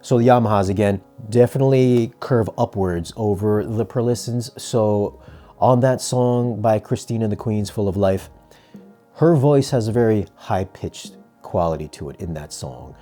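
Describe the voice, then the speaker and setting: Low, mellow-voiced man reviewing gear. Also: normal speed, soft background music. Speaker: audio gear reviewer and presenter. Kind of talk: product review talk to camera.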